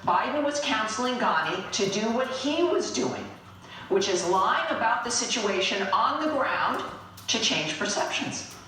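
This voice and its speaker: A woman's voice: a little high, lively intonation, measured pace, pushed and bright, distant mic, slight background noise. Very echoey, indignant American woman host. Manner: emphatic, accusatory, indignant. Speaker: political talk show host. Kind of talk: scripted opinion monologue.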